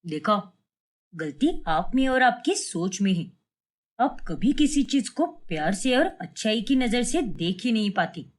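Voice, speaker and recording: A woman's voice: mid-range voice, sing-song, unhurried, voice pushed down. A woman voicing a frank young adult son in a cartoon family story, earnest and reproachful.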